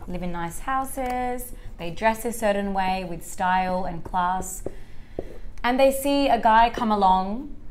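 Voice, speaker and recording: A young Australian woman from Sydney, with a mid-pitched voice, explains local slang in a relaxed, giggly, clear way. A faint noise floor runs under the recording. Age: 20s.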